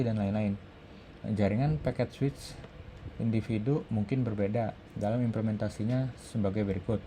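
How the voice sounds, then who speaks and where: A man with an Indonesian accent reads out an academic article review in a halting, hesitant way. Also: lowish voice, mellow, a little hiss or hum. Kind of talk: scripted academic presentation, read aloud.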